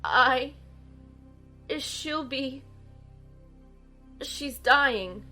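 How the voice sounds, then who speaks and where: A woman's voice: high-pitched, drawn-out words, pushed and bright, faint music behind, full character voice. An actress playing an earnest young girl in an audio drama, telling the story in first person and speaking in it. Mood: shaken, hesitant. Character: earnest young girl narrating her team's battle.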